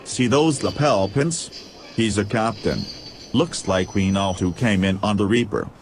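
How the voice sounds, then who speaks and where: A man's voice: fairly low pitch, a little slow, a little hiss or hum, lightly acted. A man acting a loyal, analytical droid in an audio drama, speaking in a precise, matter-of-fact way. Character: loyal, analytical male-voiced tactical droid companion.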